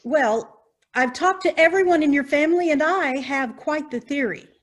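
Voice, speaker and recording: A woman acts as a nosy reporter in a stage farce, her voice very high. She is insistent and inquisitive, pressing her questions in an amateur theatrical way. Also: moderate pace, natural voice. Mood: probing. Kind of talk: amateur stage farce acting over video.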